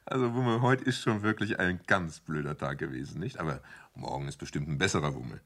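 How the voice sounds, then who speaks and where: An actor playing a fussy but gentle father in a children's radio play. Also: rumbling low, animated, fairly quick, heavily acted. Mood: comforting. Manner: fussy and exasperated, then soft and comforting.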